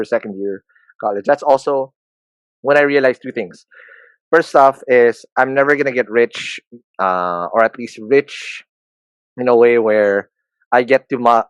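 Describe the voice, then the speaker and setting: Filipino man, 30s, candid self-deprecating guest, mid-pitched, dry studio sound. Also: moderate pace, smooth, no echo, low-quality recording. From Philippines. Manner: self-deprecating, joking, frequent restarts. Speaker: podcast guest, entrepreneur. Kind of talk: candid conversational interview.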